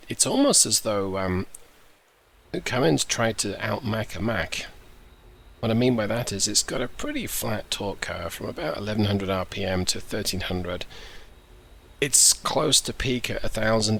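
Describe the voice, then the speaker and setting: Croaky low-voiced man narrating gameplay. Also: sing-song, moderate pace, fairly bright tone, slight background noise. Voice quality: croaky, gravelly. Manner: chatty, thinks aloud, self-correcting. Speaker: gaming channel host. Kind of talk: casual gameplay commentary.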